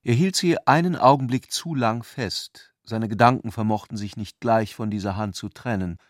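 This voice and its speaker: A man reading scripted literary narration for a radio play in a measured, articulate way. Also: fairly low pitch, no room sound, studio quality.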